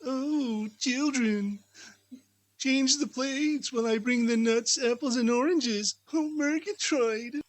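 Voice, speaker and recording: A man voicing a fussy, sharp-tongued mother in a theatrical storybook reading, exclaiming and scolding. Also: very high voice, slow, voice pushed up, low-quality recording. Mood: indignant.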